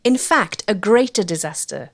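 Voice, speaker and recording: Casting: female documentary narrator, medium pitch, scripted historical storytelling read. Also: animated, moderate pace.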